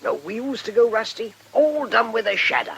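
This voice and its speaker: Folksy country stonemason, rural English accent, high animated voice, chatty radio drama character. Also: male voice, normal speed, gravelly, slight background noise, heavily acted. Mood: chatty, proud. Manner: garrulous, homespun country phrasing. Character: chatty rustic village stonemason, folksy salesman.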